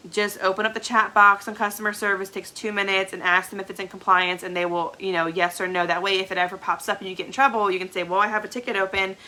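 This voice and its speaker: A woman's voice: medium pitch, bright, forceful tone, poor audio. A young woman, stuffed up from congestion, chatting casually as she trains new sellers on a live stream from home and often checking in with "okay?". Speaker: direct sales team leader. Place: home room with a product shelf.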